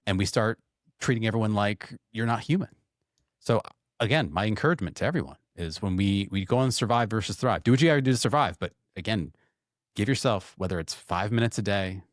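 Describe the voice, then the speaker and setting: Earnest, low-voiced encouraging man. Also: no room sound, studio quality. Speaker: podcast co-host. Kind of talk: casual podcast chat.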